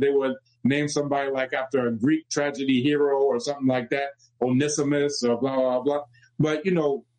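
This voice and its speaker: A man explaining a point at length, in a steady, lecturing way. He keeps checking in with his listeners through rhetorical questions and filler asides, and his voice sits at a middling pitch. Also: low-quality recording. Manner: didactic, rhetorical, frequent "right?" and "you know". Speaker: guest speaker. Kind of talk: lecturing answer on a call-in show.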